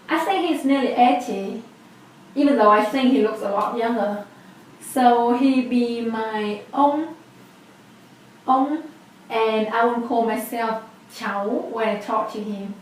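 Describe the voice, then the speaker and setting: Young vietnamese lady teaching, voice a bit high, sounds far off in a really echoey room. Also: a little hiss or hum. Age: 20s. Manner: patient, clear step-by-step explaining. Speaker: language teacher. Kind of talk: explaining a language lesson.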